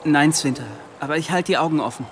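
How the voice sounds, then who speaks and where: A man playing a furtive, devout and playful young man who coaxes softly in a German audio drama. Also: a little high, fast talker, background noise, natural voice. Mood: earnest.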